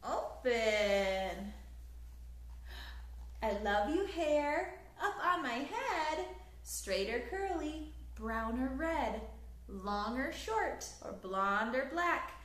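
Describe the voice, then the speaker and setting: A woman in her thirties reading a picture book aloud to young children in an expressive, sing-song way, recorded in a living room. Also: high-pitched, drawn-out words, echoey room. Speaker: children's story time host. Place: small living room, indoors on a couch.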